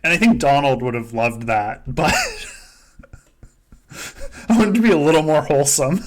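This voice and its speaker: A man's voice: on the high side, very animated, unhurried. Joking, sweary man hosting a podcast. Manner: joking, sweary, laughs often. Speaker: podcast host. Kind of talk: casual chat running a simulated reality-show game.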